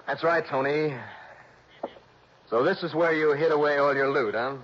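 A man's voice: on the high side, fairly even intonation, normal speed, a little hiss or hum, lightly acted. An actor playing a cool, terse investigator who narrates his own case in a radio drama. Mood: confident, tough. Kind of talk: scripted first-person radio drama narration. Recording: dull old radio recording, music behind.

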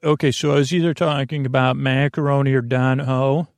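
A man with a creaky voice hosting a podcast in a meandering, halting monologue, often wandering off on tangents and interrupting himself. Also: mid-range voice, little pitch movement, dry recording. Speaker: podcast host. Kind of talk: rambling bedtime podcast monologue.

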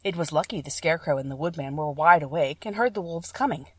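Female storybook narrator, slightly low voice, very dry room, sitting a little back from the mic. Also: quick pace, husky, dry recording, recorded from a distance. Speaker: audiobook narrator. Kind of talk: scripted children's story narration.

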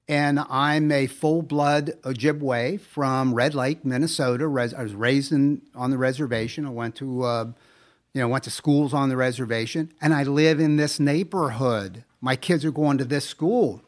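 A man co-hosting a podcast in casual conversation, telling stories in an animated, emphatic way. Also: medium pitch, normal speed. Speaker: podcast co-host.

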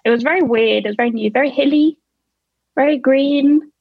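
A woman's voice: high voice, brisk, no room sound. A young woman guest in a casual chat, wry and a little rambling. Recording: dry muffled lo-fi.

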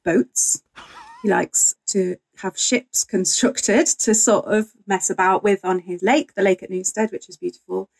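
A woman's voice: medium pitch, steady tone, a little slow, creaky, poor audio. A woman talking conversationally as a guest historian in an interview, candid and wryly humorous.

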